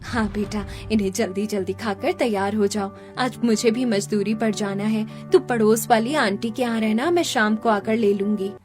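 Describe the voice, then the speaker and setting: A woman voicing a poor, hardworking, devoted mother in an animated story, earnest and pleading. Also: medium pitch, moderate pace, over music, lightly acted. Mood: tender.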